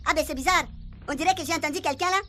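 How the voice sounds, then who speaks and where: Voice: extremely high pitch, very fast, sharp tone, a little hiss or hum. A performer playing a puzzled, insistent young housemate in a comic radio serial. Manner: bewildered, insistent. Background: music.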